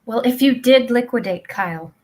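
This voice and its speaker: A woman's voice: fairly high pitch, moderate pace, a little mellow, close to an everyday voice. A woman playing a blithely supportive middle-aged mother in a live play reading, placid and reassuring.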